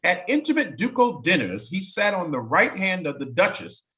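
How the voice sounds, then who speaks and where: Male narrator for a staged reading: slightly high voice, very animated intonation, expressive storytelling broken by dry conversational asides. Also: moderate pace. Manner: expressive, wry, breaking off to comment. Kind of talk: dramatic reading aloud with casual asides.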